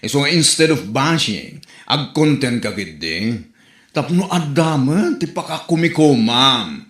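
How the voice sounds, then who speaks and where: A man with an Ilocano Filipino accent playing an excitable, supportive friend and husband in a comedy audio drama. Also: mid-range voice, measured pace. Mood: scolding, defensive. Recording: roomy, echoing home recording.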